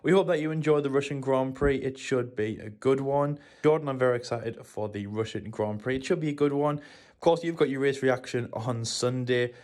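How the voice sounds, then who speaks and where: A man co-hosting a motorsport show, giving a steady race preview full of "of course". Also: mid-range voice, normal speed. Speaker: co-host.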